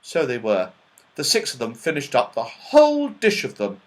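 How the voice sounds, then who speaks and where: A man narrating a children's storybook as an audiobook reader, with expressive, dramatic delivery. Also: mid-range voice, normal speed.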